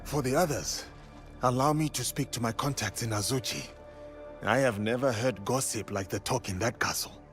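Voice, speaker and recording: An actor playing a courteous, composed samurai in a video game, speaking formally and concisely. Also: male voice, mid-range voice, normal speed, fairly bright tone, faint music behind, close to an everyday voice. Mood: thoughtful. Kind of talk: dramatic video game cutscene acting.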